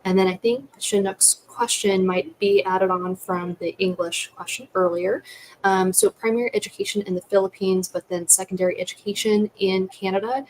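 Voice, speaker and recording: A woman's voice: medium pitch, steady tone, normal speed, a little mellow, rough recording. A young woman giving methodical, advisory answers as a licensing expert on a webinar panel. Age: young adult. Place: indoor room with curtains. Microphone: computer microphone on video call. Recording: faint background noise on video call.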